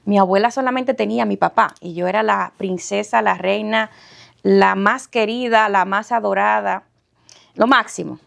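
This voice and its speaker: A middle-aged woman with a Dominican accent, the guest of an interview podcast, telling her life story in a lively way with frequent laughter. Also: medium pitch, moderate pace, gravelly. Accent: Dominican Spanish. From Dominican Republic. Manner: warm, animated storyteller, laughs often.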